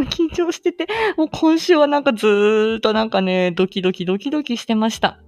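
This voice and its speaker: A woman's voice: a little high, lively intonation, normal speed, darkish tone, faint music behind. A woman hosting a casual personal audio stream, chatting in a giggly, self-deprecating manner. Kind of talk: casual chat.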